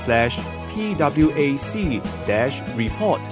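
A male announcer delivers a scripted sponsor message. His pitch moves in lively swings, and music plays clearly underneath. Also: takes it slowly. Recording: music bed behind the voice.

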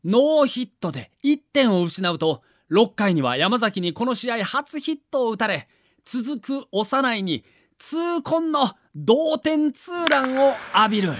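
A man's voice: very high-pitched, big pitch swings, a little slow, a bit bright, dry recording. A man giving dramatic scripted narration for an audio documentary.

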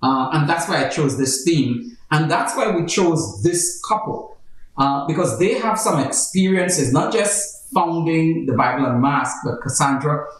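A man's voice: fairly high pitch, expressive, a little slow. A man, a pastor and host, explaining a Bible study theme in a conversational way with playful asides. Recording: very echoey room, far from the mic.

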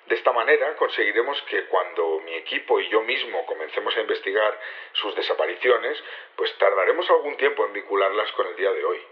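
A man's voice: fairly low pitch, lightly acted. Methodical male leader, acted, slightly dull radio sound. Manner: methodical, polite, explanatory. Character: composed male leader briefing his conspirators, methodical. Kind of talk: naturalistic audio drama acting.

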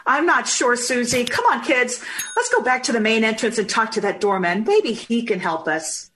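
A woman's voice: on the high side, expressive, moderate pace, a bit bright, slight background noise. An actress playing an anxious, polite 1950s mother in old-time radio drama style, with a hesitant, fretful manner.

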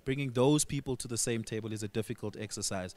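A man's voice: mid-range voice, fast, darkish tone. A man with a South African accent hosts a discussion interview, speaking reflectively and posing rhetorical questions. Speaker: radio host.

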